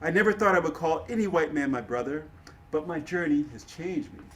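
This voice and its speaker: A man playing an earnest, impassioned civil rights activist and orator in a dramatic reading, speaking in measured oratory. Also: fairly high pitch, animated, a bit bright. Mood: reflective, resolute. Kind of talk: dramatic reading of historical dialogue.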